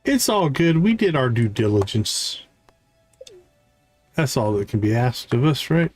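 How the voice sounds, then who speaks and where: A man's voice: mid-range voice, sing-song, measured pace, faint music behind. A man doing casual live gameplay commentary, thinking aloud to himself and his viewers as a streamer. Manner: musing, talks to viewers and self. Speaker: video game livestreamer.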